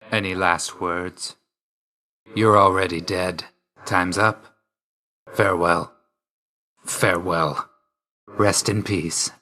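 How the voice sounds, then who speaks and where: Deadpan, low, grim death god. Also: male voice, full character voice. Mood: cold, menacing. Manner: terse, deadpan, curt. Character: grim, aloof god of death, stern yet grudgingly loyal. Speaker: video game voice actor. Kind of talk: scripted game character lines.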